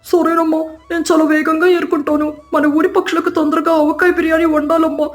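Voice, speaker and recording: An excited little cartoon bird, played by a woman, extremely high-pitched and quick. The child sounds devoted and eager, with a roomy echo. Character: eager young child bird helping mother.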